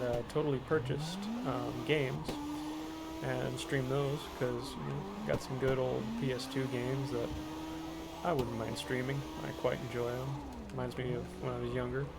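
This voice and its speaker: A man streaming a racing game from home, talking in a low-key, monotone, rambling way and keeping his voice down. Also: moderate pace. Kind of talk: casual gameplay commentary. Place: home, kept quiet for others in the house. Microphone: cheap microphone. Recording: noisy cheap mic with game sound.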